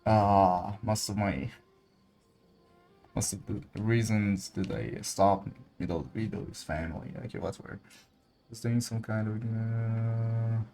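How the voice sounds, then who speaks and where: A man whose first language is Persian, giving halting, casual asides while streaming a game. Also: on the low side, steady tone, dark tone, faint music behind. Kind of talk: casual gameplay commentary.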